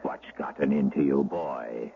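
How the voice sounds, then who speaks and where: An actor playing a gruff old country shepherd with rustic, archaic speech in a radio drama. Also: male voice, rumbling low, fairly even intonation, unhurried, narrow, tinny audio, exaggerated voice. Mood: gruff, teasing. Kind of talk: 1970s radio drama acting. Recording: thin, hissy vintage radio recording.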